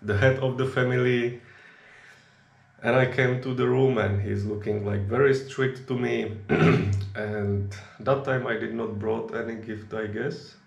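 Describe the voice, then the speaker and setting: A young man from the Czech Republic, a native Czech speaker, chatting in relaxed non-native English as a vlogger, recorded on a camera at arm's length in a small kitchen. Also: mid-range voice, unhurried, gravelly, echoey room, dull audio. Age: young adult. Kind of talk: casual chat.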